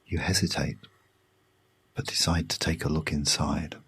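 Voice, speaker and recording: A British man narrating a guided sleep meditation story in a hushed, soothing, measured way with long pauses. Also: extremely low pitch, level tone, slightly rough. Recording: music behind the voice. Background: music.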